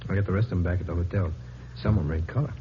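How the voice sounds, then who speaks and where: A sleazy, pushy peddler. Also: male voice, deep voice, rapid-fire. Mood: salesy. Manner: wheedling, pushy salesman. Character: sleazy male peddler of dirty books, pushy. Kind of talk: 1950s radio drama acting. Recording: dull old radio recording with hiss.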